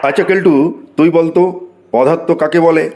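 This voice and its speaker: Male cartoon schoolteacher, acted by a man, higher-pitched, scolding, excitable, exclamatory. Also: fairly quick, a little hiss or hum, lightly acted. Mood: quizzing. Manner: scolding, exclamatory, mock-praising. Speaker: cartoon voice actor. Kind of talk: comic Bengali cartoon dialogue.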